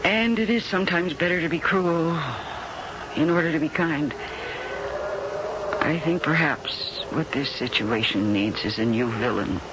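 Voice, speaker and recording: An actress playing a world-weary, middle-aged film star who feigns cruel indifference, in theatrical 1970s radio drama style. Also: female voice, sits low, a little slow, noisy background. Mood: rueful, resolved. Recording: muffled 1970s radio broadcast with music.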